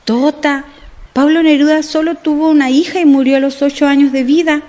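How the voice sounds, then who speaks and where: An actress playing a caring, educated journalist friend, earnest and cautioning, in a radio soap opera. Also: female voice, high-pitched, little pitch movement, fairly quick, a little mellow, lightly acted. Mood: incredulous.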